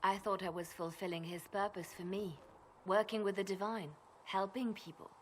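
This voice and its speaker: A woman voicing a grave, guarded and devout spymaster in a video game, measured and brooding. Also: mid-range voice, fairly even intonation, a little hiss or hum, lightly acted. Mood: bitter grief. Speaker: spymaster with agents. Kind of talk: dramatic video game voice acting.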